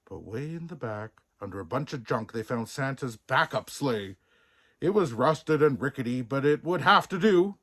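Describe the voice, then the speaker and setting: A man narrating a children's story as a storybook reader, expressive, playful and theatrical, relishing the sound words. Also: mid-range voice, moderate pace. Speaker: storybook reader in a Santa persona.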